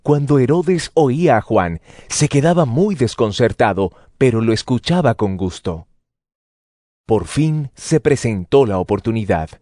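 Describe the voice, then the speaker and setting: A man narrating scripture as a dramatized story, with expressive storytelling delivery. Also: medium pitch, measured pace, no echo. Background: music.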